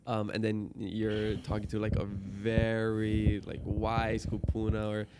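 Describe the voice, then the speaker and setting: A man's voice: lowish voice, monotone, slow, heavy background noise. A man from Hawaii whose first language is Hawaiian, hosting a podcast in a friendly way, laughing often and asking questions. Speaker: podcast host. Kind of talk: casual interview chat.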